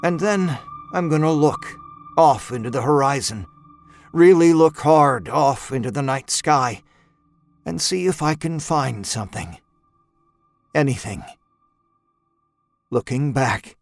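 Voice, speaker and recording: Man reading a creepy story, slow and brooding, soft music underneath. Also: medium pitch, expressive, no echo. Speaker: story narrator. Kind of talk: first-person horror story narration.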